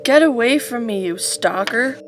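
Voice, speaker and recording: An actress playing a sharp-tongued, imperious, scornful teenage girl in an audio drama, with a snappy, cutting delivery. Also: a little high, lively intonation, measured pace, faint music behind.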